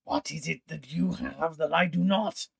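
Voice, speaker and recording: A man's voice: on the high side, measured pace, vocal fry, fairly bright tone, breathy edge, pitched above the performer's own voice, full character voice. A man voicing a scornful four-armed Vedalken villain. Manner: scornful, self-pitying, pleading when threatened. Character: jealous, cruel male four-armed Vedalken hunter.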